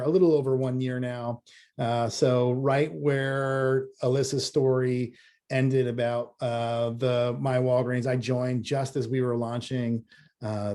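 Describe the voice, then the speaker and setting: A man's voice: mid-range voice, dark tone. Hesitant man from the US East Coast. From US East Coast, Massachusetts. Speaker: company creative director presenting. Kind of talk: informal self-introduction presentation.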